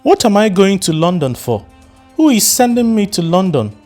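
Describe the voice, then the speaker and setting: A man's voice: sits high, sing-song, normal speed, a little mellow, faint music behind, pitched above the performer's own voice. A man doing a fierce indignant mother. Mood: angry. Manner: confrontational, firing questions. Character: indignant middle-aged mother, fierce and demanding.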